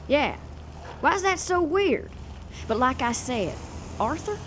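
An actress playing a cheeky, streetwise young informant in a 1930s noir audio drama, slangy, offhand and unbothered. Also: female voice, high voice, very animated, takes it slowly, fairly bright tone, a little hiss or hum, full character voice. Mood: breezy, cheeky. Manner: slangy, casual, unbothered wisecracking.

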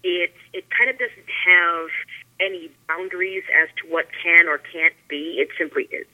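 A woman's voice: lowish voice, steady tone, normal speed. A woman speaks through a narrow, tinny phone connection. She talks casually, as a panelist adding her view to a roundtable discussion. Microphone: telephone call.

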